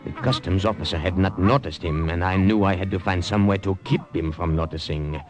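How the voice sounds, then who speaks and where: An actor as a low-voiced, suave poet spy. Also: male voice, fairly quick, over music, natural voice. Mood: wry narration. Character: suave, smiling poet spy, wry and unruffled. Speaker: narrator and lead character. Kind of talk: 1950s radio acting. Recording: noisy old-time radio recording.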